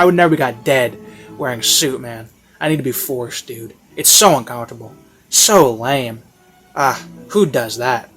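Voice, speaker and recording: A man's voice: mid-range voice, fairly bright tone. A man giving a casual, unscripted review in a rambling, hesitant way, often interrupting himself. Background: classical instrumental music.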